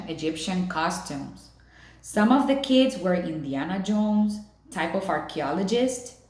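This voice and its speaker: A woman's voice: mid-range voice, darkish tone, echoey room. A woman in the role of a teacher, reading a children's novel aloud and talking directly to listeners. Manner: addresses listeners directly with questions. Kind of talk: storybook read-aloud with comments to students.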